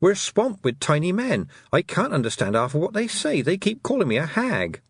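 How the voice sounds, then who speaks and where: A man as a higher-pitched, stubborn young girl. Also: wildly swooping pitch, moderate pace, breathy edge, dry recording, studio quality, exaggerated voice. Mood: bewildered, demanding. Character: brave young girl, bewildered but stubborn.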